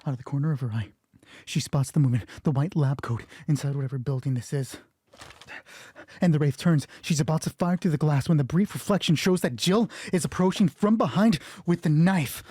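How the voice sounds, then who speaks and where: Husky male solo game master. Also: a little high, darkish tone, no echo. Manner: halting, thinking aloud. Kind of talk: improvised narration of tabletop roleplay, reading dice.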